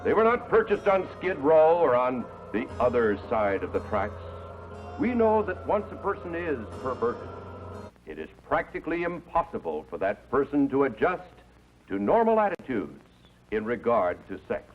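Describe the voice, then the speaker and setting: A man's voice: medium pitch, wildly swooping pitch, normal speed. A man giving a scripted, old-fashioned moral warning in an earnest, declamatory, alarmed manner. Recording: dull archival film audio under music. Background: music.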